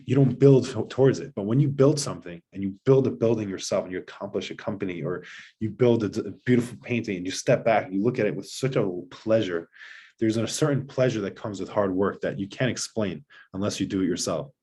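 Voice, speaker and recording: Husky-voiced man giving earnest advice. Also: on the low side, warm tone. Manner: emphatic, earnest, talks in long rushing runs. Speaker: podcast guest, father of seven. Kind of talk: conversational advice.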